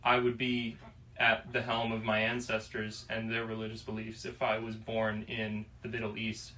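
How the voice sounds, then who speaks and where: A man in his twenties from Florida, musing slowly on faith and choice in a casual discussion. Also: fairly low pitch, monotone, bright, forceful tone. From Florida, USA. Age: 20s. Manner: slow, reflective, pauses mid-thought. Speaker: podcast guest. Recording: distant mic, faint room noise.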